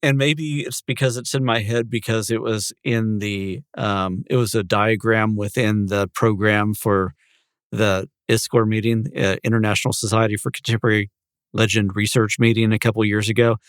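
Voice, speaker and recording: Low-voiced man chatting, dry close sound. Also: level tone, moderate pace, clear, resonant tone, dry recording. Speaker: podcast co-host. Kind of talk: casual chat.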